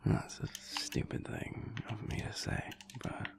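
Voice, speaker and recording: A man playing a sad, wistful young alpha werewolf in an intimate audio roleplay, talking haltingly in a low, rumbly half-whisper. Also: slight background noise, lightly acted. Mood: wistful, fond. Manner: halting, hesitant, trailing off.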